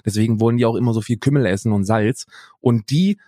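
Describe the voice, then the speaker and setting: A man's voice: fairly low pitch, fast, warm tone, dry recording, studio quality. A man in his thirties co-hosting a podcast, chatting in a joking, boastful, mock-serious way. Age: 30s. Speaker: podcast co-host. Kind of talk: joking casual chat.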